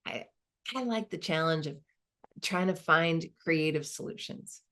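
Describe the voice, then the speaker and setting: A woman's voice: low-pitched, measured pace, sharp tone. An actress playing a modest woman law school dean, giving a reflective, conversational monologue with small hesitations. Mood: earnest, engaged. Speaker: performer of a dramatized narrative.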